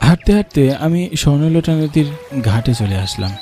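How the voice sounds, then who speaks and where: A man's voice: a little high, animated, fairly quick, warm tone, soft background music. A man playing an earnest young man who narrates a cartoon ghost story in the first person. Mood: wondering, uneasy. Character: earnest young man narrating his eerie village journey.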